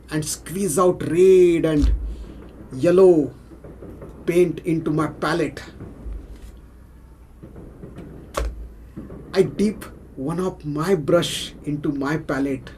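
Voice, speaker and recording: A man with an Indian accent playing a self-mocking amateur painter in a measured film monologue. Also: fairly high pitch, warm tone, slight background noise, poor audio. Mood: intense.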